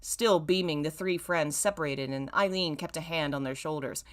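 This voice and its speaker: Low-voiced woman reading with wry asides. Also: normal speed. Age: 30s. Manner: self-mocking, wry, theatrical emphasis when reading. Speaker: streamer reading own old fan fiction.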